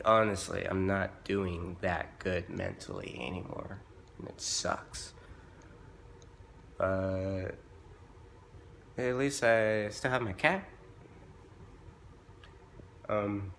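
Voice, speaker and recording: Young man, hoarse and rough-voiced, slow hesitant delivery, lowish voice, faint room hiss. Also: a bit bright. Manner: halting, hesitant, many restarts and fillers. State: hoarse from illness. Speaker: vlogger. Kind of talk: casual personal vlog.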